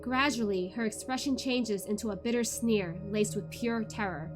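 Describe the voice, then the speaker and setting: A woman hosting a read-along livestream in an informal, expressive way, with music in the background. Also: on the high side, normal speed. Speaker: VTuber host of a book read-along. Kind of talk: casual chatty livestream; expressive audiobook-style narration of a novel.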